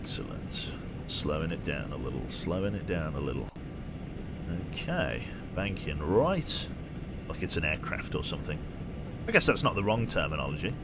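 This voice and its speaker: A British man streaming a train game, chatting casually and getting easily excited, swearing now and then. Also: moderate pace. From United Kingdom. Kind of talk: casual gameplay commentary. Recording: loud train rumble behind voice. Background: train simulator engine and rail noise.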